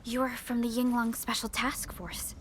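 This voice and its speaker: An actress playing a calm, gentle, tactful woman in a video game, speaking soothingly. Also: a little high, a little slow, a little hiss or hum. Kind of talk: video game cutscene acting.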